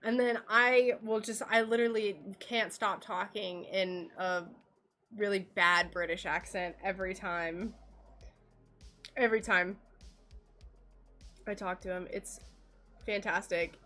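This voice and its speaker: Slow, chatty American woman. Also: mid-range voice, steady tone, a bit bright, soft background music. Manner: chatty, giggly, rambling with fillers. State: slightly worn voice. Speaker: craft streamer. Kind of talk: casual chat while working.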